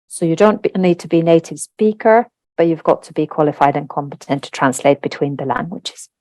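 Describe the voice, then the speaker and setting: A woman with a low voice whose first language is Finnish walks listeners through a process in a webinar. She explains patiently, with the odd filler and tag question. The sound is dry and close, with no room in it. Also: fairly quick, a little mellow, dry recording. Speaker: webinar presenter on translation standards. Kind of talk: webinar presentation.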